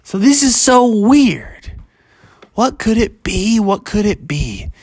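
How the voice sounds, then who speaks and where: A storyteller playing an excitable, animated storybook adventurer. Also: medium pitch, takes it slowly, breathy edge, dry recording, studio quality, pitched above the performer's own voice, exaggerated voice. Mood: amazed, curious. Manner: exclaiming, dramatic wonder. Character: excitable, wonder-struck male adventurer in a storybook.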